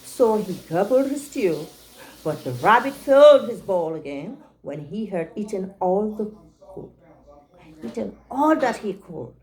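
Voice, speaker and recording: A woman reading a picture book aloud to young children as a teacher, pausing to check in with her listeners. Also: mid-range voice, sing-song, a little mellow, low-quality recording.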